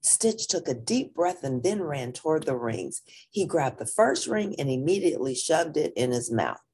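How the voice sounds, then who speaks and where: Woman reading a kids story out loud, really low husky voice, lots of expression. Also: normal speed. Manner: animated, dramatic storytelling. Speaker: children's storybook reader. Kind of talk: expressive read-aloud narration.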